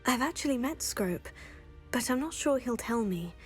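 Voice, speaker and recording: A woman's voice: on the high side, lively intonation, normal speed, faint music behind, close to an everyday voice. A woman playing an earnest, composed teenage witch student in a video game, speaking politely.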